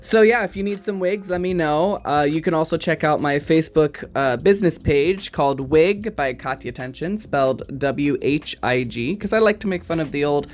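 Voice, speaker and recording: A man in his twenties, a drag queen, talking through his makeup routine in a casual, self-mocking way, with lots of ums and quick giggles. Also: a little high, faint music behind. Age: 20s. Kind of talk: casual makeup tutorial commentary.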